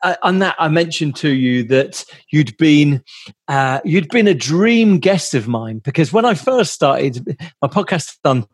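A man's voice: on the high side, animated, unhurried, breathy edge, dry recording, clean studio sound. A man hosting a podcast, introducing and interviewing a guest in an enthusiastic way with occasional repeats. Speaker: podcast host.

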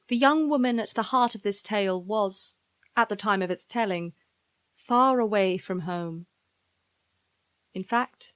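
A woman's voice: mid-range voice, no echo. A woman reading a scripted fairy-tale narration in a storytelling style.

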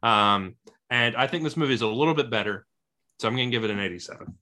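A man's voice: medium pitch, fast, sharp tone. A man hosting a casual film review podcast, upbeat and jokey as he steers the talk between segments. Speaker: podcast host.

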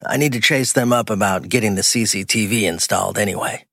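A man's voice: lowish voice, fast talker, vocal fry, pushed and bright, no echo, clean studio sound, full character voice. A man voicing a puzzled, businesslike older male site worker in an audiobook. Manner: perplexed, questioning. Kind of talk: acted audiobook dialogue.

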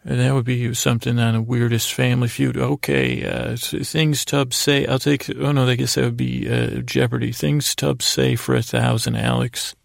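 A man with a gravelly, creaky voice hosting a podcast, rambling through an improvised bedtime monologue in a halting, stammering way that often interrupts itself. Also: medium pitch, flat delivery, no room sound, studio quality. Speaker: podcast host.

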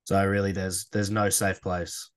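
A man hosting a podcast, soft-spoken and gentle in a casual interview. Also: low-pitched, little pitch movement, measured pace, clean tone, dry recording, studio quality. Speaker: podcast host.

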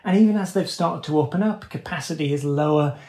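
A man with a slightly high-pitched voice talks through economic analysis in an interview. He explains things conversationally, in a measured but informal way. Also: mellow.

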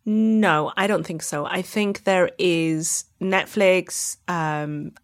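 A woman's voice: lowish voice, steady tone, takes it slowly. A woman talking conversationally as an interview guest on a podcast. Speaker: podcast guest, audio drama maker.

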